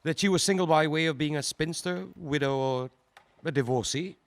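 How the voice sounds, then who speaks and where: A man's voice: a little high, no room sound, close to an everyday voice. A man playing a formal courtroom prosecutor. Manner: measured, formal questioning. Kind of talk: radio drama acting.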